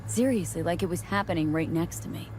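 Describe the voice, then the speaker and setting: A woman's voice: on the low side, brisk, heavy background noise, lightly acted. A woman voicing a streetwise newcomer in a video game, with brief, slangy, casual reactions. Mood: impressed, curious.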